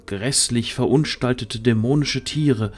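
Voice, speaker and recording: A man's voice: medium pitch, unhurried, breathy edge. A man narrating a fantasy audio drama from a script as storyteller.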